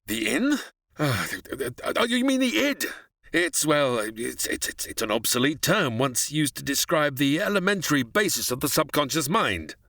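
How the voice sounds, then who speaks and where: A man's voice: on the high side, sing-song, moderate pace, bright, forceful tone, dry recording, clean studio sound, full character voice. An actor playing a proud, defensive scientist father in a retro radio drama parody, with pompous, theatrical delivery. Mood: pedantic.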